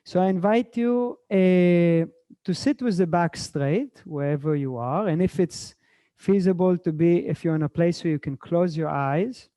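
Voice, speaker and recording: A man from Israel speaking as a swami, courteous and unhurried, in a gentle spiritual talk and meditation style. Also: sits high, dry recording.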